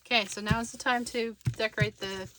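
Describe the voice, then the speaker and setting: A woman's voice: fairly high pitch, steady tone, fairly quick, background noise. A woman narrating a hands-on craft tutorial casually, thinking aloud as she works. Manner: informal, thinking aloud while working.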